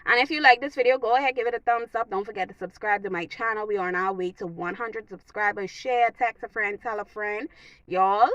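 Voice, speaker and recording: A woman's voice: mid-range voice, brisk, dry recording, lo-fi sound. A young woman with a Bahamian accent giving casual advice to her viewers, emphatic and repeating herself for stress. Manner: animated, preachy, repeats phrases for emphasis. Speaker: vlogger. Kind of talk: casual advice talk to camera.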